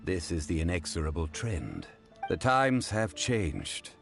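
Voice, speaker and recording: An actor playing a dignified, learned gentleman in a video game, speaking formally and eloquently with solemn composure. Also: deep voice, very animated, unhurried, vocal fry, faint music behind, heavily acted.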